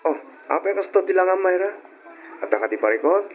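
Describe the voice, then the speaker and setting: A man acting in an old radio drama as a patient, kind-hearted, placating husband with a creaky voice. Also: high voice, big pitch swings, brisk, over music. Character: patient, kind-hearted husband who helps his relatives. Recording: muffled, noisy old radio drama recording.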